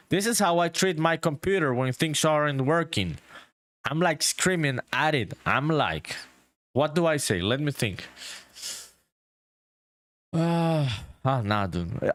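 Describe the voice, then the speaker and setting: A man's voice: a little high, fairly bright tone, no echo, studio quality. A man from a Spanish-speaking country whose first language is Spanish, chatting casually and swearing a lot while he livestreams game development. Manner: swears a lot, laid-back, says "dude" often.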